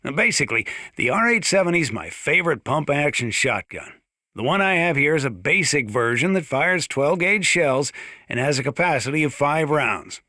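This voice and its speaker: A man's voice: a little high, bright, forceful tone, no room sound, clean studio sound. A man playing a folksy, gun-loving small-town sheriff in a video game, chatting boastfully in a conversational radio-style monologue. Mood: folksy, proud.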